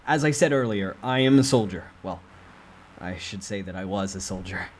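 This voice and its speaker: A man's voice: low voice, normal speed, close to an everyday voice. Man acting earnest, courteous soldier, noisy recording. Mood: polite. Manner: polite, sincere, hesitant. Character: earnest, courteous, humble young male soldier.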